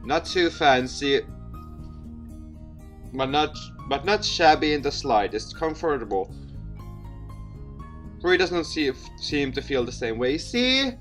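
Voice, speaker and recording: A game streamer with a mid-pitched voice reading a story game aloud expressively, with asides and the odd stumble over words. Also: big pitch swings, takes it slowly, pauses often, fairly bright tone, soft background music. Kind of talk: let's play narration with reactions and asides.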